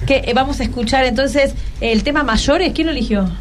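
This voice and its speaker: Higher-voiced Argentine woman hosting radio. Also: fairly quick. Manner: prompting, encouraging questions. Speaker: radio host interviewing schoolchildren. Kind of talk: casual conversational radio interview. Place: radio studio.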